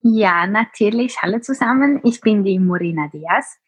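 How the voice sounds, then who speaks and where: A woman in her twenties with a Swiss German accent, talking openly as a podcast guest, often pausing on filler sounds. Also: medium pitch, animated, slightly rough, no echo. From Switzerland. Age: 20s. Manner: open, many filler sounds. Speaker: podcast guest, teacher and blogger. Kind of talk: casual interview talk.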